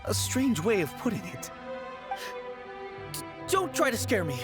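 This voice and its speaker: A man's voice: high voice, huge pitch swings, a little slow, music in the background, full character voice. A man voicing a timid young apprentice undertaker in a video game, polite and formal but nervous. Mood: wary, nervous. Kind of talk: English video game voice acting.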